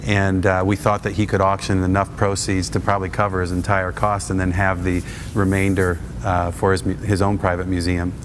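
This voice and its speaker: A man with a very low, flat voice speaks as a guest on a television interview. He talks in a steady, halting way, with many fillers. A clear hiss sits under the recording. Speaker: guest, auction business owner and minister. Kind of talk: conversational interview answer.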